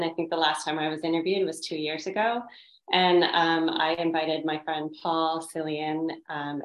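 A woman co-hosting a podcast, speaking in a measured way with some ums as she introduces herself. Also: sits low. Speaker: podcast co-host. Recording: roomy echo.

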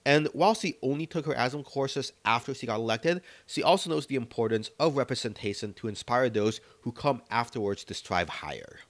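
A man's voice: mid-range voice, animated, brisk, hardly any pauses. A man hosting a podcast, reading scripted narration that links the interview clips. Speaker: podcast host.

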